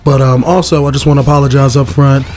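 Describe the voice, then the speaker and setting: Hoarse-voiced man rambling about a game. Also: medium pitch, flat delivery, fast, darkish tone, soft background music. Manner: rambling, lots of fillers and restarts. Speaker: gaming video host. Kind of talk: casual gaming commentary.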